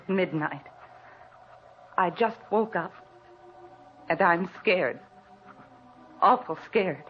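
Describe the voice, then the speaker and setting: An actress playing a frightened, anxious wife writing in her notebook, with tremulous, halting delivery in a 1940s radio thriller style. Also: fairly low pitch, close to an everyday voice. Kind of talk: 1940s radio thriller acting, narrated diary. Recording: muffled, noisy old radio broadcast recording.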